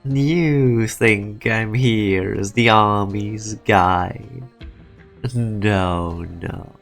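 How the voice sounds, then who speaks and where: A man voicing a calculating, sardonic and menacing executive in a game fan dub, speaking deliberately. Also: fairly low pitch, steady tone, vocal fry, exaggerated voice. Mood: guarded, insistent. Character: calculating male corporate executive, sardonic, menacing, pressing for truth. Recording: music behind the voice.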